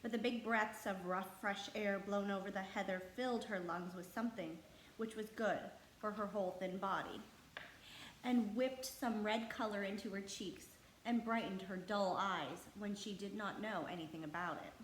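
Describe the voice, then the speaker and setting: Female storybook narrator, mid pitch, expressive read-aloud, roomy echo. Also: moderate pace. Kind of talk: scripted storybook narration for children. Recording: echoey room with faint background noise.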